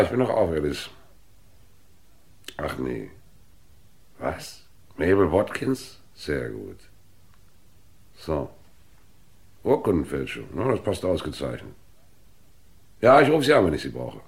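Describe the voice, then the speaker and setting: An actor playing a brisk, businesslike police inspector in a vintage crime radio play, speaking in a clipped, commanding, matter-of-fact way. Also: male voice, very deep voice, huge pitch swings, vocal fry, exaggerated voice. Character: brisk, businesslike male police inspector investigating a hotel murder.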